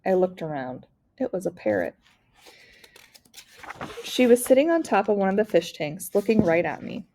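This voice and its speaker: A woman reads a children's story aloud in the manner of a teacher. Her voice is mellow and relaxed, with noticeable creak at the edges. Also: medium pitch, normal speed, slight background noise. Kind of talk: children's novel read-aloud narration.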